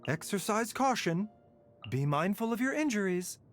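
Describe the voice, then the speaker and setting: A man voicing a gentle, frail doctor in a video game, measured, polite and softly wry. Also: high-pitched, big pitch swings. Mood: calm, wry. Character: gentle, frail male doctor, calm and courteous. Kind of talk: scripted video game character lines. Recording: music behind the voice.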